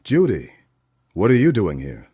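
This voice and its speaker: A man's voice: fairly low pitch, wildly swooping pitch, unhurried, warm tone, slightly rough, heavily acted. A man playing a wry, cynical hard-boiled detective in a noir parody radio play, dry and sardonic. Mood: reluctant.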